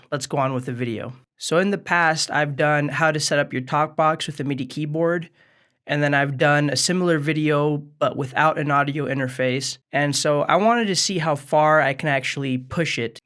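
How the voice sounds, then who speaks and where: A man's voice: a little high, normal speed, fairly bright tone. A man presenting a casual step-by-step tutorial vlog in an easygoing, conversational way, addressing his viewers directly. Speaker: music gear video maker and presenter.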